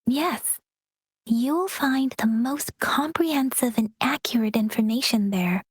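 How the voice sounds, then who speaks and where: A calm young guide who explains things in a soft, measured, slightly breathy voice, pitched a little high. The sound is dry and close. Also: female voice, dry recording. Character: gentle, polite young woman guide, calm and informative. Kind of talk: game cutscene voice acting.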